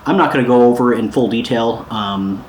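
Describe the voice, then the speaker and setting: A man's voice: medium pitch, moderate pace. A man talking casually and informally as he hosts a hands-on DIY electronics tutorial, easygoing and a little self-deprecating. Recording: echoey room, some background noise.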